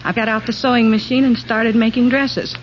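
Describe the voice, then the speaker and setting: Woman quiz contestant from Pennsylvania, slightly high voice, old radio broadcast with dull, noisy sound. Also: little pitch movement, a little fast. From Pennsylvania, United States. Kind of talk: answering host's questions on a radio show. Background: audience laughter.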